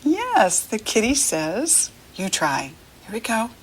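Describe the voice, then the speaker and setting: An American woman reading a picture book to young children as a lesson, encouraging and animated, asking questions as she goes. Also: fairly high pitch, slow, slightly rough. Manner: encouraging, asks questions and leaves room to answer; animated, sing-song child-directed talk.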